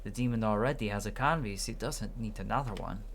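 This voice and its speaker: A person reading video game dialogue aloud in character, in a halting way with stumbles. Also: mid-range voice, slight background noise. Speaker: gaming video narrator voicing characters.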